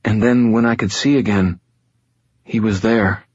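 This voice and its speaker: A man voicing a frightened, injured pregnant young woman in an audiobook, tearful and halting, with a breathy voice. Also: on the low side, fairly even intonation, voice pushed up. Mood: shaken. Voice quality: breathy, whispering. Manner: halting, trembling. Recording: dull, roomy recording.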